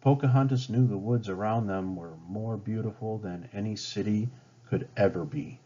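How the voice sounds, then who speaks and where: A man's voice: fairly low pitch, normal speed, dark tone, breathy edge. A man reading a children's story aloud as a storybook narrator. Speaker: storybook reader. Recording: dull lo-fi home recording.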